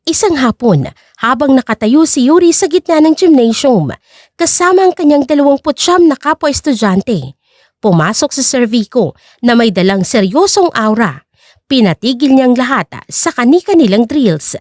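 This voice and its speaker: Female audio drama narrator, scripted and dramatic, high register, lively intonation. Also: normal speed, dry recording, clean studio sound.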